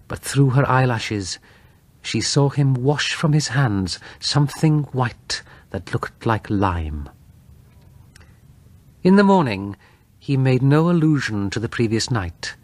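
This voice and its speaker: A man's voice: mid-range voice, no echo. Male narrator reading a suspenseful horror tale. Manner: suspenseful, measured storytelling with dramatic pauses. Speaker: audiobook narrator.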